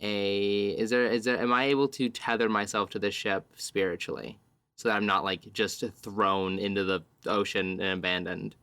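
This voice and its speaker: A man playing a tabletop roleplaying game, describing scenes as he improvises them, piecing his sentences together in halting fragments. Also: on the low side, steady tone, pushed and bright, clear, resonant tone, no echo.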